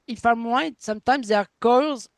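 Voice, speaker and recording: A man whose first language is French, interviewing in halting, enthusiastic English as he searches for words. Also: very high-pitched, creaky, dry recording. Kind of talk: casual interview chat.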